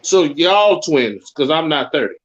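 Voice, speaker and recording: Man, thirties, high-ish voice, animated, casual commentary, swears freely. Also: measured pace, dull audio. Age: 30s. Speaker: show narrator and commentator. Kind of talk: casual chat and read-out match narration.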